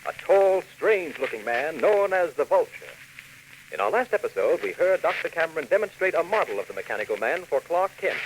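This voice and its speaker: A man's voice: on the high side, big pitch swings, brisk, rough recording. A man narrating dramatically as a scripted 1940s radio serial announcer, on a hissy old recording.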